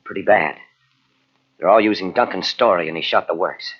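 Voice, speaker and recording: A man's voice: low-pitched, normal speed, husky. An actor playing an earnest, loyal young newspaperman in an old-time radio drama. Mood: concerned. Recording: dull old radio recording from cassette.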